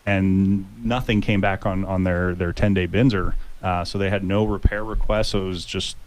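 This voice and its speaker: A man with a deep voice, a home inspector talking as a guest on a radio talk show. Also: level tone, normal speed.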